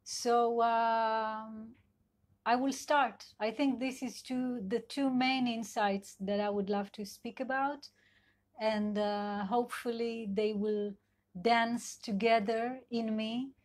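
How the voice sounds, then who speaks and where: A middle-aged woman with an Israeli accent, a sex and couple therapist whose first language is Hebrew, giving a live online talk in a gentle, searching way with many fillers. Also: a little high, steady tone, takes it slowly. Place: at home in Israel.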